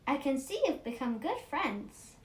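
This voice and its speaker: A woman's voice: big pitch swings, measured pace. A woman voicing a friendly, gracious neighbor in a storybook read-aloud. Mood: grateful. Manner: welcoming, gracious. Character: friendly, grateful woman neighbor who owns a cat. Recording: roomy echo, faint rumbling noise.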